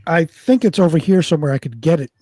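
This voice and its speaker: A man's voice: fairly high pitch, lively intonation, fast talker, warm tone, no echo. A man chatting casually as the co-host of a show. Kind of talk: casual chat.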